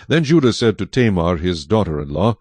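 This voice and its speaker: Low male narrator doing dramatized bible reading, very expressive, dry close sound. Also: brisk, no room sound. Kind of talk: dramatized scripted scripture narration.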